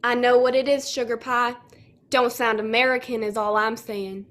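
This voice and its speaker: A woman's voice: on the high side, level tone, moderate pace, a bit bright, natural voice. A young woman acting a stubborn, folksy male farmer with a rustic drawl in a comic radio play. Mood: blustery. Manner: blustery, folksy. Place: home room, on a video call.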